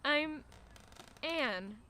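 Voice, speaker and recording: A woman's voice: high voice, animated, very slow, pushed and bright, slight background noise, exaggerated voice. An actress playing a guarded, introspective young woman traveler in an audio drama. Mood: awkward, guarded. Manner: introspective, polite, hesitant with strangers. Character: guarded, conscience-troubled young female traveler. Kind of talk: audio drama acting with narration.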